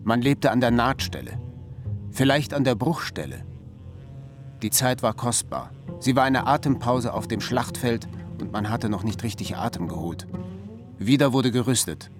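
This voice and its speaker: A man acting as a foreboding chronicler of a divided country. His voice sits at a medium pitch, in literary radio drama style, over music. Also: lively intonation, moderate pace, lightly acted. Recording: music behind the voice.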